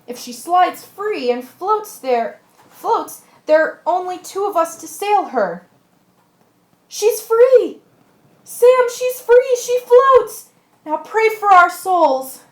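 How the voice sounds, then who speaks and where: Woman playing a high-voiced, commanding ship's mate. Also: lively intonation, takes it slowly, full character voice. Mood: urgent, excited. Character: resolute male ship's mate, commanding schemer. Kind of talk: amateur radio drama acting. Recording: echoey room.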